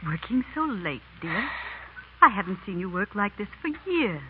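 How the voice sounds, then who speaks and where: A woman playing a gentle, concerned wife, affectionate and questioning, in a 1930s radio drama. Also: mid-range voice, very animated, moderate pace, natural voice. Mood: tender, puzzled. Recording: muffled, noisy 1930s radio recording.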